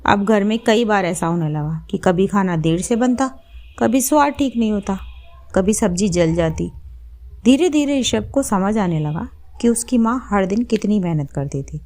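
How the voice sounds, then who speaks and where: A woman's voice: medium pitch, expressive, normal speed, a little mellow. A woman storyteller reading a scripted story as its narrator.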